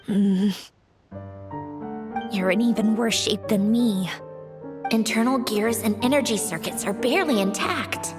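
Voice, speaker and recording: An actress playing a proud, sharp-tongued mechanical genius in a video game, haughty and theatrical, sardonic but secretly caring. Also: female voice, mid-range voice, a little slow, long pauses, music in the background, natural voice. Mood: strained, worried.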